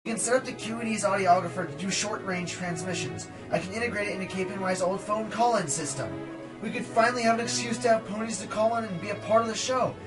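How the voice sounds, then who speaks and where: A man playing an excitable, scheming inventor pony in an audio drama, geeky, hesitant and boastful. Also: high voice, fast, music in the background, lightly acted, low-quality recording. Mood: enthusiastic.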